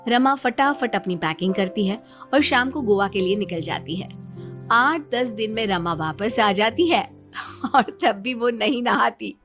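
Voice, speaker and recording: A woman's voice: mid-range voice, a little slow, fairly bright tone, soft background music. A woman narrating a scripted story as the storyteller.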